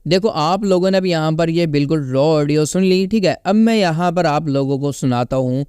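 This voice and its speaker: A man's voice: on the high side, normal speed, darkish tone, clean tone, no room sound, studio quality. A man with an Indian accent giving a casual, instructional step-by-step app tutorial, often checking in with listeners. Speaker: tutorial host. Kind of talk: casual step-by-step app tutorial, Hindi mixed with English words.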